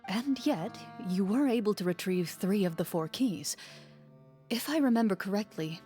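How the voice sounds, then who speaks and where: A woman playing a composed, noble veteran monster hunter in a fantasy game, speaking in a calm, measured, firm way. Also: mid-range voice, natural voice. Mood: calm, probing. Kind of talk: scripted fantasy game dialogue. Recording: music playing under the voice.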